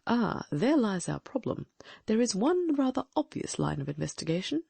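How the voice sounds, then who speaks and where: A woman's voice: on the high side, sing-song, moderate pace, gravelly, dark tone, husky, no room sound, exaggerated voice. A woman voicing a brilliant, confident Victorian detective in an audiobook, reasoning aloud with precise diction. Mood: musing. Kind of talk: audiobook dramatic reading.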